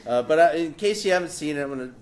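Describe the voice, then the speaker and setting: Wry, halting man on a panel. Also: on the high side, expressive, a little mellow. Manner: wry, joking, halting with many fillers. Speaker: panelist, political cartoonist and writer. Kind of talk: casual panel talk presenting his work.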